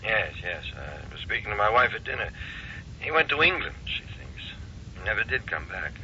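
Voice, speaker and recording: A calm, philosophical American doctor in a vintage radio play. His low voice has a hard, extremely bright edge and a measured, reflective delivery. The old recording is dull. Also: a little hiss or hum. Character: calm, philosophical doctor roused by late call. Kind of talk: old-time radio drama acting.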